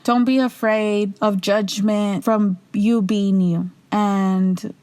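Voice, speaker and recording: A young woman with a raspy voice hosting her own podcast, talking loosely and casually, swearing freely, a little tipsy. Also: mid-range voice, flat delivery, takes it slowly, darkish tone, dry recording. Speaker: podcast host. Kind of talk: casual solo monologue.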